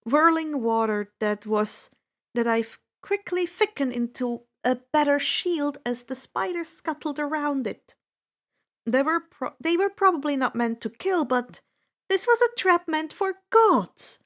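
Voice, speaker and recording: A woman reading a first-person adventure story aloud as an expressive, dramatic narrator. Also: sits high, unhurried. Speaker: audiobook-style fan fiction reader.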